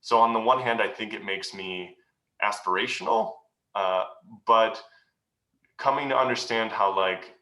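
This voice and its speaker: A man's voice: lowish voice, expressive, bright, forceful tone. Earnest, reflective man in an echoey room. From United States. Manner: reflective, earnest, halting with many pauses. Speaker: guest speaker. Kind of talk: answering questions at a meeting. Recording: roomy echo.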